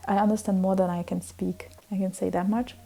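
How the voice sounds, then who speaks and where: A woman's voice: mid-range voice, flat delivery, fairly quick, vocal fry, mellow. A young woman in her twenties, a native Hungarian speaker, chatting casually on her vlog, rambling with lots of fillers and restarts. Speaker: vlogger. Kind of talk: casual chat.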